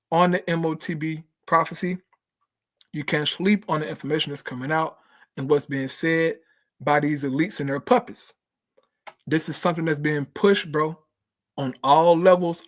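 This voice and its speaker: Emphatic man preaching to his listeners. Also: on the high side. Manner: calls listeners bro and brothers and sisters; emphatic, keeps checking in with right and okay. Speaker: host. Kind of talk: casual preaching commentary.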